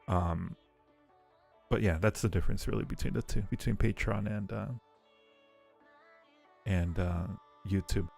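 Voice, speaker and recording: A man chatting casually with viewers as a livestream host, sometimes halting as he explains things. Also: deep voice, fairly even intonation, creaky, darkish tone. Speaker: streamer and audio roleplay creator. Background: faint music.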